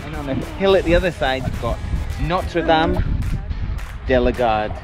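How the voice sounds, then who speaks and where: A man's voice: fairly high pitch, lively intonation, a little slow, over music. A man in his forties chatting casually and jokily on a family holiday vlog, recorded on a handheld camera outdoors. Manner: jokey. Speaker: family vlogger, father. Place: outdoors at tourist sites and on shuttles.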